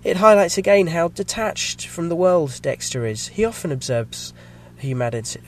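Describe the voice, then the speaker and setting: A man with a slight cold and a croaky voice, hosting a podcast and reading a measured, scripted episode review. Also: fairly high pitch. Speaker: podcast host.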